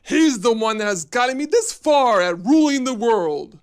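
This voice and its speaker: A man playing a gloating villain who schemes to rule the world, in a theatrical, drawn-out delivery for a game cutscene. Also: very high-pitched, expressive, clean tone. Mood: smug. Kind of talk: fan game cutscene voice acting.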